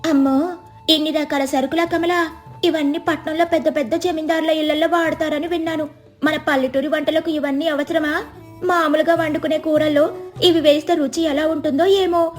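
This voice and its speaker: Woman putting on a very high-pitched neighbour. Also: level tone, normal speed, soft background music, close to an everyday voice. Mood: surprised, doubtful. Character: doubtful, curious female village neighbour friend. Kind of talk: storybook dialogue.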